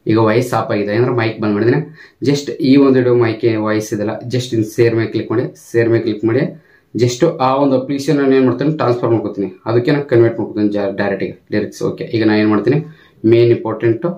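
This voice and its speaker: A man's voice: medium pitch, fairly even intonation, very fast, a little mellow. A man hosting an informal step-by-step tech tutorial, explaining emphatically and repetitively with English tech words mixed in. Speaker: YouTube tech tutorial host.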